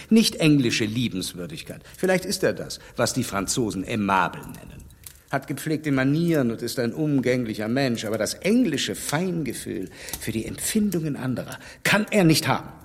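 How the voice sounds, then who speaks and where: An actor playing a stern, blunt gentleman who lectures scornfully, in a radio drama. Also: medium pitch, breathy edge, in a large hall. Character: stern upright gentleman, blunt moral scold.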